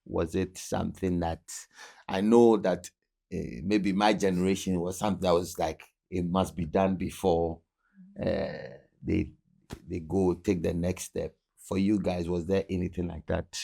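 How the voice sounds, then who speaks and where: A man's voice: sits low, flat delivery, a little slow, gravelly, warm tone. A man hosting a podcast conversation, talking casually and stumbling over repeated words as he searches for them. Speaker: podcast host. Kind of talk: casual interview chat.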